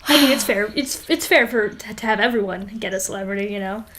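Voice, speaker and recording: A woman's voice: medium pitch, fast, a bit bright, slight background noise. A young woman co-hosting a podcast in casual comedic chat, wry and exclamatory, often laughing through her words. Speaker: podcast co-host.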